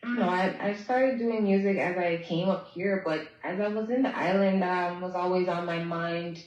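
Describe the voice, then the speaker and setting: A woman's voice: lowish voice, moderate pace, reverberant, lo-fi sound. A woman from Guyana, chatting easygoing as a musician guest on a call-in interview. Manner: easygoing, chatty, speaks in friendly shout-outs.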